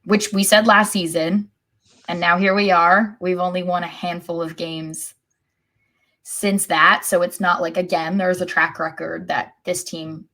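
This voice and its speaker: A woman's voice: on the low side, little pitch movement, measured pace, bright, forceful tone. A woman co-hosting a soccer podcast, chatting casually in a wry way. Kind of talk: casual sports chat.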